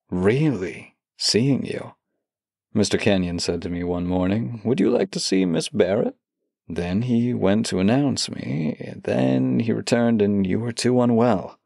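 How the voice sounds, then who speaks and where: A man acting a devoted romantic partner in an intimate audio drama, with a gravelly, husky voice, speaking heartfelt words close to the mic. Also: fairly low pitch, a little mellow, no echo, heavily acted. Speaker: voice actor reading love letters. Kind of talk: intimate audio drama, slow heartfelt letter reading and banter.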